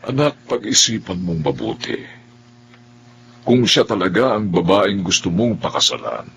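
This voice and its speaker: Earnest older father, animated pitch. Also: moderate pace, creaky, a little hiss or hum, heavily acted. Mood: worried, admonishing. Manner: earnest, admonishing. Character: concerned older father, advising his grown son. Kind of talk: radio drama acting.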